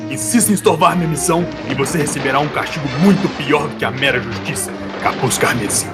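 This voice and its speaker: Man acting a bombastic high-pitched pulp hero. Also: fast, loud music behind the voice, natural voice. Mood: defiant. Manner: bombastic, defiant, declamatory. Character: fearless, righteous pulp adventurer detective hero. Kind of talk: pulp radio-serial acting.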